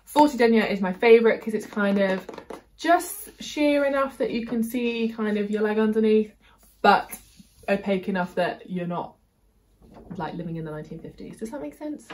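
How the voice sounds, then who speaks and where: A bubbly British woman in her twenties talks straight to the camera from her home, chatty and rambling. Her voice sits at an ordinary middle pitch, and her talk is full of "like" and "kind of". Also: moderate pace, a little mellow, slight background noise. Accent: British English. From United Kingdom. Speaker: beauty and fashion vlogger. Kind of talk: chatty try-on haul.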